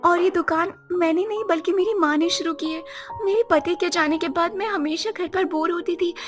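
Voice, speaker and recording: A woman voicing a young, short-statured daughter-in-law in a moral story, earnest, emphatic and dignified. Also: extremely high pitch. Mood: polite, friendly. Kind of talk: animated moral-story dialogue. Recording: music behind the voice.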